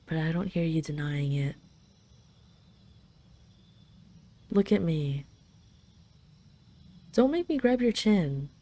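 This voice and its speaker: A woman's voice: mid-range voice, mellow. A woman voicing a haughty dragon queen in an intimate roleplay drama, imperious and teasing, possessively fond of her captive. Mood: mocking, affectionate. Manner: imperious, teasing, intimate, slightly flustered when admitting affection. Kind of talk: roleplay audio drama addressed to the listener.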